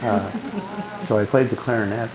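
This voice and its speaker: An older man with a low, growly bass voice, talking casually in a group and rambling through stories with many restarts. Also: fairly even intonation, moderate pace, a loud crowd, poor audio. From Southern California. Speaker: guest being asked questions.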